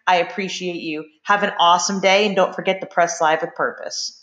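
A woman gives an upbeat, energetic sign-off at the end of a podcast episode. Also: on the low side, brisk, reverberant. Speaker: podcast host.

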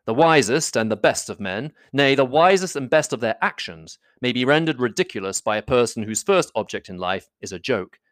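A man voicing a proud, reserved young gentleman, speaking in a measured, grave way. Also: mid-range voice, animated, no echo, clean studio sound, close to an everyday voice.

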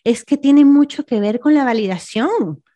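A woman's voice: sits high, expressive, moderate pace, dark tone. A woman with a Venezuelan accent hosting a casual podcast interview, often chiming in with short agreeing reactions. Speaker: podcast host.

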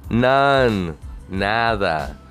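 A man's voice: fairly low pitch, expressive, very slow, a bit bright, soft background music. A man on a casual, rambling rant, swearing constantly and leaning on "you know" fillers.

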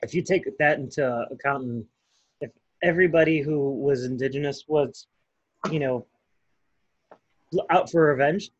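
Blunt husky man with a Chicago accent. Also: on the high side, moderate pace, long pauses, dull audio. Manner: blunt, profane. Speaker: podcast co-host. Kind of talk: casual podcast chat.

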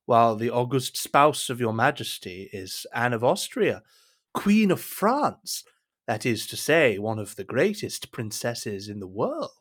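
Insinuating cardinal for period audio drama: mid-pitched, courtly, formal, richly varied intonation. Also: male voice, sing-song, unhurried, a bit bright. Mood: measured, sly. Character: smooth, scheming, deferential cardinal and royal adviser.

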